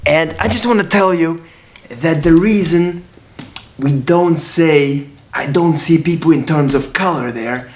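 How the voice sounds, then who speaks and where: A man's voice: fairly high pitch, measured pace. A man in his twenties from Russia with a Brooklyn accent, talking casually to camera in a rambling way, repeating himself and restarting often. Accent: Brooklyn New York. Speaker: vlogger.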